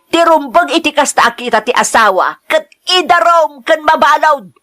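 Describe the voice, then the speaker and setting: A woman's voice: sits high, lively intonation, normal speed, sharp tone. A woman with a Filipino accent playing an indignant, scornful older mother in an audio drama. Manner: scornful, scolding.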